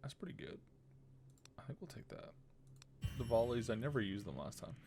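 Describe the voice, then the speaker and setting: Gravelly low-key man streaming a game. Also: very animated, normal speed, noisy background. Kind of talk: casual gameplay commentary, reading card text aloud. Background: game music.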